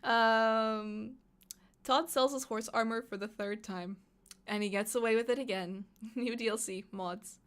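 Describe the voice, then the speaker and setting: A young woman, a native Russian speaker, chatting excitedly on a live stream and reading out chat questions. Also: on the high side, measured pace. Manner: chatty, excitable, thinks aloud with fillers.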